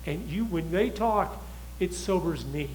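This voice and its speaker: A man's voice: sits high, lively intonation, unhurried, darkish tone, slight background noise, low-quality recording. A man, a talk show guest, speaking urgently and emphatically, with frequent restarts. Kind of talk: impassioned talk show monologue.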